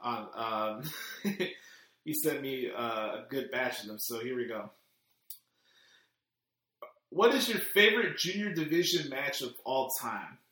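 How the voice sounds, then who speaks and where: A man answers listener questions on his podcast, rambling through fillers and restarts. His voice sits at a middle pitch for a man. The recording is very echoey, as if made in a bare, reflective room. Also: expressive, a little slow, long pauses, pushed and bright. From Chicago, United States. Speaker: podcast host. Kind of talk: casual podcast Q&A.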